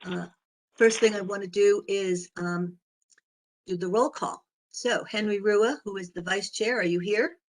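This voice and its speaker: A woman chairs a public board meeting in a hoarse, raspy voice. She moves through the agenda haltingly and fills her pauses with ums. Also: mid-range voice, rough recording. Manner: halting, with many fillers.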